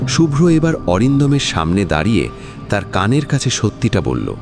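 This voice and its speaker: Deep-voiced man narrating suspense dramatically. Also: wildly swooping pitch, moderate pace, darkish tone, faint music behind.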